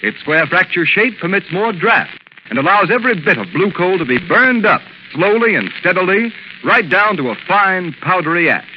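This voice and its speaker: A man's voice: high-pitched, lively intonation, moderate pace. A man announcing a scripted 1930s radio advertisement with formal, emphatic salesmanship. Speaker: radio announcer and sponsor spokesman. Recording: noisy, muffled 1930s radio broadcast.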